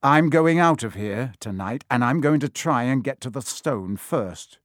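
A man's voice: medium pitch, expressive, a little slow, dry recording, studio quality, lightly acted. A man voicing a brave, headstrong schoolboy hero in a storybook reading, speaking with urgent insistence. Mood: impassioned.

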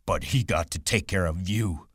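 A man voicing a sharp-tongued old aunt who nags and scolds in coarse country talk. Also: low voice, moderate pace, husky, no room sound, studio quality, voice pushed up. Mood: scolding.

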